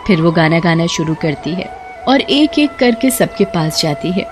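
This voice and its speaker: Expressive woman narrating a story. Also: fairly low pitch, normal speed, over music.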